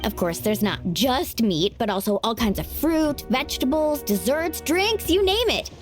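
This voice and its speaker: A woman's voice: high-pitched, big pitch swings, normal speed, faint music behind. A woman voicing an upbeat, impulsive teenage adventurer girl in an anime-style video game, breezy and enthusiastic. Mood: excited, breezy.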